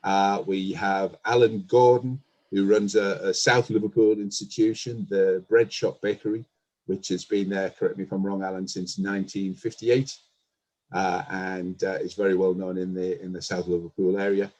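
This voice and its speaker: Low-voiced male show host. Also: level tone, normal speed, a little mellow, muffled recording. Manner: friendly, hesitant, frequent fillers. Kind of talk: casual online panel interview.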